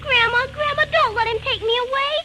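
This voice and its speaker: Shrill, bratty little girl. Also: steady tone, fairly quick, loud music behind the voice. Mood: pleading, wailing. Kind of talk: old radio drama acting. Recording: very noisy vintage radio recording.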